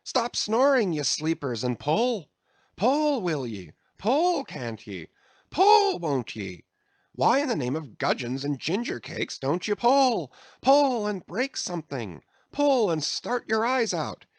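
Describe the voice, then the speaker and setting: A man's voice: sits high, wildly swooping pitch, takes it slowly, no echo, voice pushed up, exaggerated voice. A man voicing an easygoing whaling mate who drawls, coaxes and jokingly scolds his rowing crew. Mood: coaxing. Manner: drawling, soothing cajoling mixed with mock scolding. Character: easygoing male whaling mate, coaxing and jocular.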